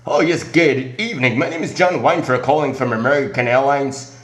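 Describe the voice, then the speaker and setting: A man's voice: medium pitch, fairly bright tone. Echoey man making a prank phone call. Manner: polite scripted call-agent patter, halting. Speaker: prank caller. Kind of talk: prank phone call posing as an airline agent.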